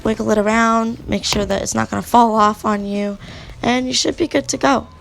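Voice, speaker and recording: A young woman with a sore, raspy throat giving a chatty how-to voiceover about skate parts. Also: fairly high pitch, normal speed, faint music behind. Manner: chatty, relaxed, with many fillers. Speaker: skating vlogger. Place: home at night.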